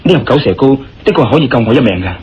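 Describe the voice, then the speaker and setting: A man with a Hong Kong Cantonese accent playing a confident, persuasive injured adventurer in a radio drama, smooth-talking and assertive. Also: medium pitch, lively intonation, very fast, darkish tone. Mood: coaxing, earnest. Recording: dull lo-fi radio drama recording. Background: music.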